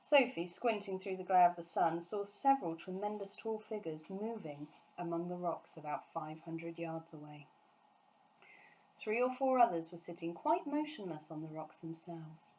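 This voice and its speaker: A woman reading a children's storybook aloud in an expressive narrating style. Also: on the low side, normal speed, low-quality recording. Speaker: story reader.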